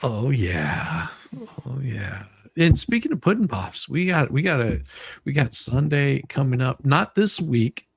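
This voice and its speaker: Male livestream host, creaky voice, dry close sound, loose and rambling delivery that circles back on itself. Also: medium pitch, sing-song, unhurried, dry recording. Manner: rambling, repeats words while thinking. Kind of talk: casual livestream chat.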